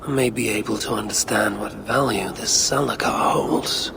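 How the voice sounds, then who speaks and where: A man playing a shrewd, grim Norse god in a video game, with a gravelly voice, speaking in dramatic, deliberate dialogue. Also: fairly low pitch, steady tone, bright, forceful tone, slight background noise, exaggerated voice. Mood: pensive.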